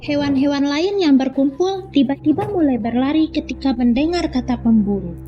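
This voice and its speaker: A young woman from Indonesia, a student, calmly narrating a children's fable. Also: sits high, normal speed, dull audio. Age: young adult. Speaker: student storyteller. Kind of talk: scripted children's story narration.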